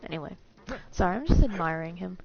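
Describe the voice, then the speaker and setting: A woman's voice: lowish voice, animated, fast talker, vocal fry. Casual woman streamer over faint music. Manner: rambling, informal. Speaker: game streamer. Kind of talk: casual live playthrough commentary. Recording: slightly dull audio, faint music. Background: faint game music.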